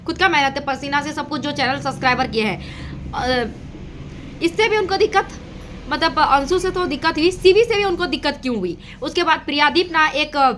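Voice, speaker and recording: A woman's voice: high voice, sharp tone, a little hiss or hum. A woman giving casual gossip commentary to her audience, with emphatic, repetitive phrasing. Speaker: reaction video host.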